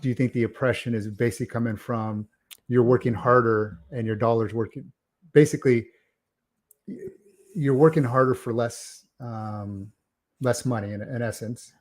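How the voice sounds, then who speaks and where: A man with a mid-pitched voice hosts a casual interview. He asks long, rambling questions and keeps affirming the guest with frequent yeahs and okays. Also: fairly even intonation, moderate pace, a little mellow. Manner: affirming, lots of "yeah" and "okay", rambling questions. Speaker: podcast host.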